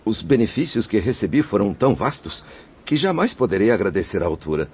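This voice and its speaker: A man's voice: lowish voice, very animated, brisk, a little mellow, husky. An actor playing the guilt-ridden, grateful spirit of a father in a radio soap, speaking in a solemn, declamatory way. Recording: dull lo-fi radio drama recording.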